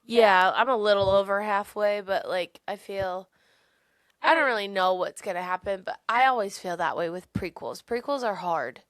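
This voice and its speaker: A woman with a medium-pitched voice co-hosts a book podcast. She talks in an informal, chatty way, and the sound is dry, with almost no room in it. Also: normal speed, no room sound. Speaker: podcast co-host. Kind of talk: casual book chat.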